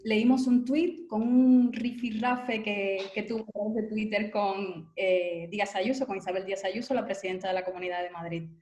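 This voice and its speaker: A woman interviewer posing questions in measured speech with occasional fillers. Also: mid-range voice, a little mellow, reverberant.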